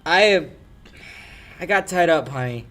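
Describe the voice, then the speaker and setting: Young man playing a hapless, animated lawyer. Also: a little high, slow, heavily acted. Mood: sheepish. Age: young adult. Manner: flustered, earnest. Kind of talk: 1940s radio play acting.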